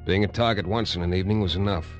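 Lead: low-voiced man, hard-boiled first-person narrator, old radio, dull sound with faint music. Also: racing. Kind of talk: 1950s radio drama narration.

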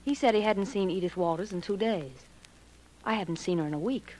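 An actress playing a blunt, contrary married woman under questioning, crisp and emphatic, in 1940s radio drama. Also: mid-range voice, fairly quick, a little mellow, lightly acted. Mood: contrary, cool. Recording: noisy vintage 1940s radio recording.

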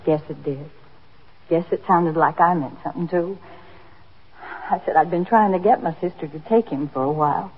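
An actress playing a worried, remorseful middle-aged housewife and daughter in a 1950s radio drama, speaking earnestly and hesitantly. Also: female voice, lowish voice, moderate pace, long pauses, slightly rough. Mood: regretful, worried. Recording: dull, noisy 1950s radio recording.

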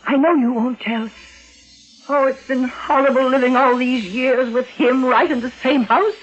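A woman's voice: high-pitched, steady tone, measured pace. A woman playing a frightened, guilt-ridden older aunt in a 1940s radio melodrama, agitated and pleading. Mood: confessing, pleading. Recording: dull vintage radio recording, music.

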